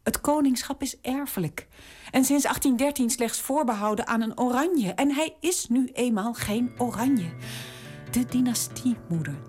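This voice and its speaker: An actress playing a dutiful princess daughter in a radio drama, speaking gently and deferentially. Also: female voice, a little high, expressive, moderate pace, soft background music, lightly acted. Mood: persuasive.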